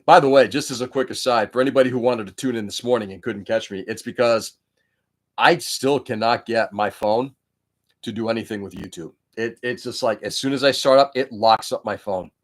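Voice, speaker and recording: A man's voice: mid-range voice, brisk. Casual livestream host on his laptop, a Greek-native man who swears a lot and talks in loose, opinionated tangents. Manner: rambling, profane, opinionated. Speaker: livestream host building model kits. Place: indoor room.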